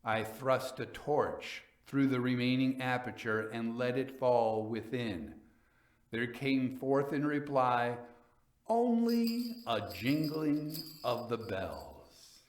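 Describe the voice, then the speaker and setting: A man playing a proud, vengeful aging murderer recounting his crime in a theatrical, deliberate gothic storytelling style. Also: medium pitch, animated, echoey room. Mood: grim, grave. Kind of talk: dramatic reading of gothic tale.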